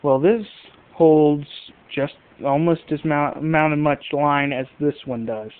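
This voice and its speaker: A man's voice: fairly high pitch, measured pace, clean tone. A man hosting a homemade fishing gear video, talking casually and off the cuff, comparing products. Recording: muffled phone-like amateur camera audio.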